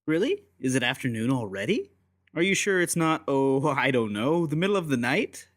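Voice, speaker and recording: A man's voice: medium pitch, sing-song, normal speed, dry recording, studio quality, close to an everyday voice. A man playing a sardonic, unflappable English secret agent, teasing and theatrical, in an audiobook drama. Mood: mocking. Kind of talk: full-cast audiobook acting.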